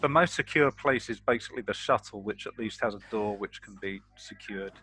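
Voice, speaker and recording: A man's voice: on the low side, fairly quick, pushed and bright, slightly rough. A man playing a tabletop game, talking through plans casually in a cautious, questioning manner.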